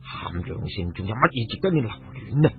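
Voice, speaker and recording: A man voicing a grave, loyal elder sworn brother in a radio story, speaking in a measured, sombre way. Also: fairly low pitch, very animated, gravelly, soft background music, pitched below the performer's own voice. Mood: earnest, loyal. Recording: muffled phone-like radio recording.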